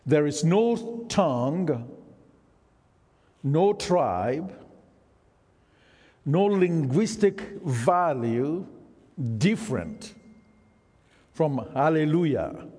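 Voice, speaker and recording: A man's voice: on the high side, lively intonation, drawn-out words, long pauses, dark tone, slightly rough. A man, Isoko his tongue, preaching a sermon and engaging the congregation with questions. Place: church hall. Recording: reverberant hall.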